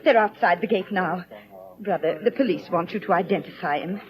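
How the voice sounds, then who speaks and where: Anxious sister woman acting, fretful, 1930s radio drama, dull old recording, clear background commotion. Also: on the low side, a little fast, crowd noise, lightly acted. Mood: agitated. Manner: urgent, fretful. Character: agitated, anxious sister of a bishop.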